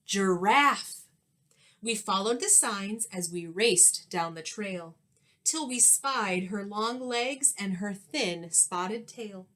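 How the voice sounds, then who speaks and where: A woman reading a children's storybook aloud like a teacher, expressive and sing-song. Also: medium pitch. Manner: expressive, sing-song, pausing to ask listeners questions.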